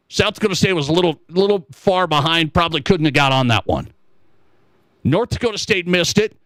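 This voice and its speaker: High-voiced American man on sports radio. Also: a bit bright, no echo, rough recording. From Montana, United States. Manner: emphatic, argues with mocking imagined objections. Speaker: sports talk radio host. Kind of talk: opinionated sports talk monologue.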